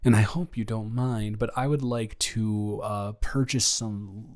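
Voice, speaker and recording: A man playing a smitten, teasing young man in an improvised romantic audio roleplay, speaking in a bantering, halting way. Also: lowish voice, fairly even intonation, darkish tone, close to an everyday voice. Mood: smitten, soft. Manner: bantering, halting, with stammers and breathy laughs. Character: smitten, teasing young man confessing love to his best friend.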